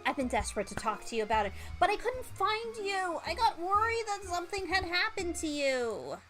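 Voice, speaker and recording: A woman voicing an excitable, chatty and dramatic young female best friend in a visual novel game. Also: extremely high pitch, moderate pace, soft background music, pitched above the performer's own voice, exaggerated voice. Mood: breathless, gossipy.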